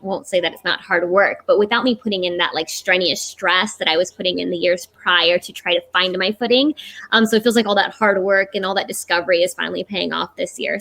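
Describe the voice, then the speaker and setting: Chatty, animated female host in her thirties; casual live-chat delivery, mid pitch. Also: vocal fry. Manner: chatty, animated, laughs often.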